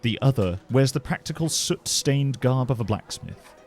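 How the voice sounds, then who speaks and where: A man's voice: medium pitch, expressive, moderate pace, darkish tone. A man giving scripted narration for a fantasy fiction podcast. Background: music.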